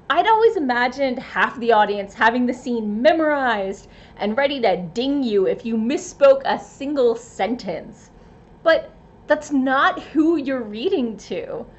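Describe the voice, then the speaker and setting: A woman with a high, very animated voice presents scripted tips at an unhurried pace. Her delivery is expressive and emphatic, with playful asides. The recording is slightly dull and lo-fi. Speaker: writer and presenter giving tips. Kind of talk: scripted advice narration.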